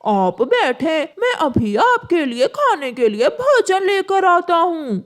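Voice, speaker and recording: Woman doing an earnest high-voiced cartoon crow husband. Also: big pitch swings, takes it slowly, exaggerated voice. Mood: eager, attentive. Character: earnest, anxious male crow, a devoted groom. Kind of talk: children's cartoon character acting.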